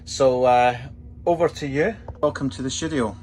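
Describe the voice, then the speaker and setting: Brief: man hosting a podcast, mid-pitched, halting delivery full of fillers, faint hum behind. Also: a little mellow. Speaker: podcast host. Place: canal boat studio.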